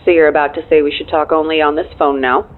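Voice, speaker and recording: An actress playing a dryly wry, efficient and loyal assistant in an audio drama, speaking through a phone filter with a deadpan manner. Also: female voice, low voice, quick pace. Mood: businesslike, concerned. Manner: deadpan, dry. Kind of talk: naturalistic audio drama acting. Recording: thin narrow phone-line sound.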